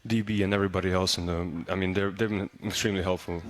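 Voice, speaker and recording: A young man, a native Serbian speaker, talking in a relaxed interview as a college basketball player, with lots of fillers and restarts. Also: deep voice, fast. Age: young adult. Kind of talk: casual interview.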